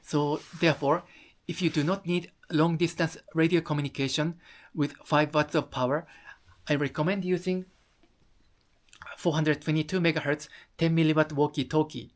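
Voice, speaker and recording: A person from Japan with a Japanese accent presenting an explanatory talk, speaking haltingly and often rephrasing. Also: medium pitch, fairly even intonation. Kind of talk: explanatory talk to camera audience.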